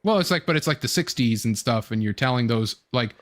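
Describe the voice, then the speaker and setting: A young adult man with an average-pitched voice hosts a casual online fan show. He rambles through his commentary, starting and restarting phrases and pausing often as he works out his point. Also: lively intonation. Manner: rambling, halting, fragmented phrasing.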